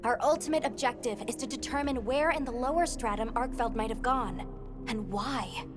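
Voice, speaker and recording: Woman voicing a calm brainy expedition scholar, slightly high voice, loud game music. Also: moderate pace, natural voice. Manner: composed, analytical, explaining. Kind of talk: game cutscene voice acting. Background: orchestral game score.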